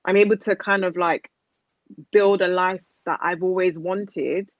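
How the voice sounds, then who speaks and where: A woman's voice: on the low side, fairly even intonation, unhurried, no room sound, narrow, tinny audio. Candid woman in her thirties, chatting. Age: 30s. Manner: candid, rambling, with frequent fillers and laughs. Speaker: podcast guest. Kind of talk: casual chat.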